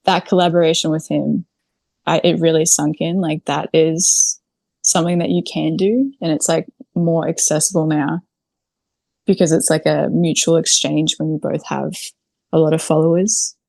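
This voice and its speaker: Low-voiced woman chatting casually, dry sound. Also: steady tone, normal speed, vocal fry, mellow, no room sound. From New Zealand. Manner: informal, frequent "like" and "literally". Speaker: podcast guest, video creator. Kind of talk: casual interview chat.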